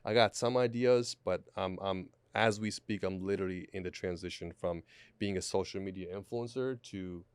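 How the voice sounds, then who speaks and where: A man's voice: low voice, steady tone, normal speed, clear, resonant tone, no echo. A man in his late twenties speaking as a podcast guest, reflective and repeating words as he thinks, on a studio mic. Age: 20s. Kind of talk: podcast conversation. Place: small studio. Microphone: studio mic on boom arm, headphones.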